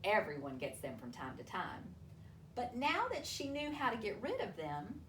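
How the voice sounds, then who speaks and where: A woman reading a children's storybook aloud to families, with expressive, deliberate delivery and drawn-out emphasis. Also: medium pitch. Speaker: school superintendent reading a picture book aloud to families. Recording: roomy, distant mic with faint noise.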